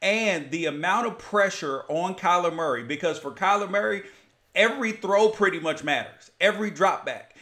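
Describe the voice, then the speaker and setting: An American man hosting a sports podcast, arguing his opinions emphatically in lively debate with a guest. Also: high-pitched, normal speed.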